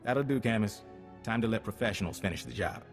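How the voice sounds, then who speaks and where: A man's voice: fairly low pitch, lively intonation, quick pace, in a large hall, faint music behind. An actor playing a businesslike male agent giving a mission briefing in a game cutscene, assured and curt. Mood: curt, dismissive. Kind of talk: scripted game cutscene dialogue.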